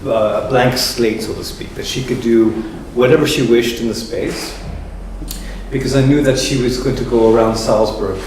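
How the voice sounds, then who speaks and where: A man hosting a gallery talk as curator, speaking in a measured, reflective way as he searches for words. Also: medium pitch, steady tone, darkish tone. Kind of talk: public talk introducing an artist. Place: large echoey gallery room. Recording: echoey gallery room from far off with ambient noise.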